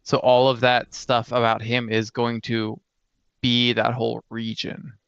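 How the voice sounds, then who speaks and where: A man's voice: medium pitch, completely flat delivery, measured pace, vocal fry, a bit bright, clear, resonant tone, no room sound. A man co-hosting a casual chat and brainstorming tabletop fantasy lore, on a dull, lo-fi close mic. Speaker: podcast co-host.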